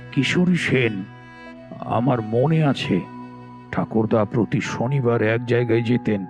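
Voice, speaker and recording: A man playing a bewildered, timid writer who speaks haltingly and stammers. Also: mid-range voice, gravelly, a little mellow. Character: bewildered middle-aged male writer, timid. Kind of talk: Bengali audio story acting.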